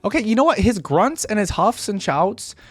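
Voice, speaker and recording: A man's voice: high-pitched, sing-song, moderate pace, no echo, faint music behind. A man giving casual reaction commentary as a video host, rambling as he weighs his opinions.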